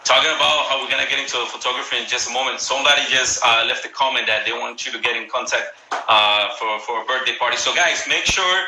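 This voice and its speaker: A man from Colombia with a strong Colombian Spanish accent, hosting a live stream interview in an upbeat way and giving shout-outs. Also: mid-range voice, quick pace, reverberant, slight background noise, poor audio. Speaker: host. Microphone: phone or laptop on a live stream.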